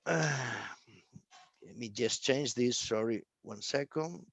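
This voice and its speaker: A man who is not a native English speaker gives a live technical product presentation, speaking haltingly and often repeating words and phrases. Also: medium pitch, vocal fry, no echo.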